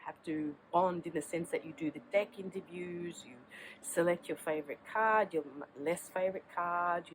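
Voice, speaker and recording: A woman's voice: sits low, slight background noise, poor audio. A woman with a sore throat and a cold, chatting casually to camera outdoors as the host of her tarot channel, rambling and restarting sentences. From Australia.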